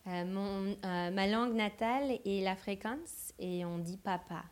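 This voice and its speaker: Slow woman interpreting a sermon. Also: medium pitch, clear, resonant tone. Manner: translating phrase by phrase with hesitations.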